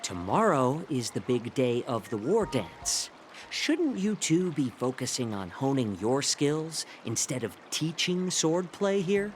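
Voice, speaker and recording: Courteous, lively-toned fox-man healer. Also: mid-range voice, slight background noise. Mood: wry. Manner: courteous, measured, gently needling. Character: polite, sly fox-man healer, smoothly composed adult. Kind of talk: anime game voice acting. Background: music.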